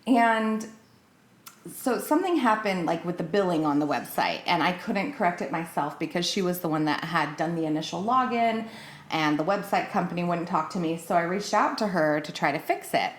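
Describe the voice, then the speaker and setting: In a clearly roomy, echoing recording, a woman speaks in a fairly low voice. Her delivery is casual and conversational, like someone recounting personal experiences and replaying exchanges on a podcast. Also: expressive, normal speed. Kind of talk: casual chat, personal anecdote.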